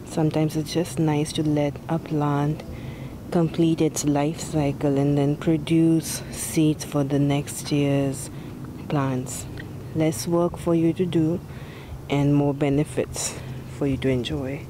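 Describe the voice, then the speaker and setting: A woman giving a relaxed, casual tour of her backyard vegetable garden, with soft fillers along the way. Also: deep voice, slow pace, noisy background.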